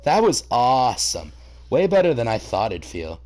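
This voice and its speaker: A man's voice: mid-range voice, big pitch swings, normal speed, a little mellow, close to an everyday voice. Male reader as brash young pony mare, casual slangy delivery, eager and cocky, comedic storytelling. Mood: awed.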